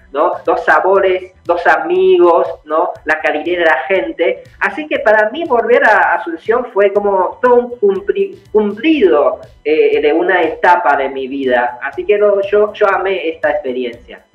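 A man's voice: sits high, lively intonation, unhurried, few pauses. A middle-aged man from Taiwan, an actor joining an interview by video call, laughing often and hesitating with many fillers. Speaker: actor guest. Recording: echoey lo-fi video call with faint music.

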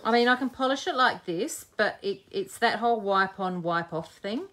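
A woman's voice: mid-range voice, expressive, normal speed, fairly bright tone. A woman in her forties chatting and joking as she demonstrates a craft project live. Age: 40s. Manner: chatty, jokey, thinks aloud while working. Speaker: craft video host. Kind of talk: live crafting demo chat.

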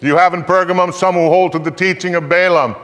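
A man's voice: sits high, fairly even intonation, quick pace, a bit bright. An older man giving a solemn, declamatory live recitation of scripture on stage, drawing out phrases for emphasis. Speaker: dramatic reader on stage. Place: theater stage, indoors. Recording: echoey hall with long reverb.

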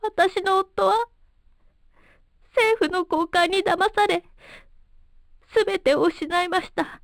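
A wronged, sorrowful wife in a game. She pleads politely in a very high voice, slowly and formally. The recording is dry. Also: gravelly, no room sound, exaggerated voice. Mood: pleading, sorrowful. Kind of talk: Japanese fighting game voice acting.

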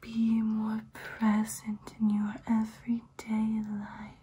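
A woman performs an ancient female vampire in an ASMR roleplay. Her breathy whisper is soft and mellow, drawn out very slowly and kept almost flat, soothing and hypnotic throughout. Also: a little high, exaggerated voice. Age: young adult. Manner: slow, soothing, hypnotic, repetitive. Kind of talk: ASMR personal-attention roleplay. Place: small room indoors.

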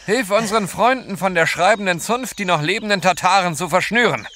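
An actor playing a confident, decisive gentleman adventurer in a German audio drama. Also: high voice, brisk. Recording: loud outdoor scene noise, clicks, animals.

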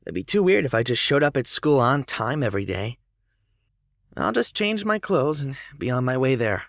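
A woman's voice: extremely low pitch, very animated, normal speed, creaky, no echo, clean studio sound, heavily acted. A woman acting a flustered, sarcastic teenage schoolboy in a fan audio drama. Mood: grumbling.

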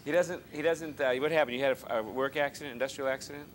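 A man's voice: mid-range voice, fast talker, a little hiss or hum. A man with a Boston accent hosting a live call-in TV show, teasing and joking with guests and callers. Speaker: TV talk show host. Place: TV studio with audience.

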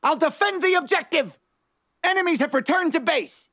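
A man's voice: very high-pitched, expressive, normal speed, pushed and bright, dry recording, studio quality, full character voice. A man voicing a manic, mischievous little male fox mad scientist in a video game, calling out short theatrical lines. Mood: excited, urgent. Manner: exclamatory, theatrical short barks.

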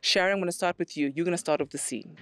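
A woman's voice: fairly low pitch, expressive, very fast, no echo, studio quality. A woman in her thirties co-hosting a podcast, playfully setting up improv scenes for her guests. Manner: playful, bursts into loud laughter. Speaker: podcast co-host. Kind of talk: lively improv game hosting. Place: studio set with red wood walls. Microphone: studio mic on a boom arm.

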